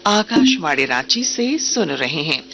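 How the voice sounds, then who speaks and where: A woman news reader delivering a scripted radio bulletin in a formal, measured announcing manner. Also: low voice, very animated, creaky.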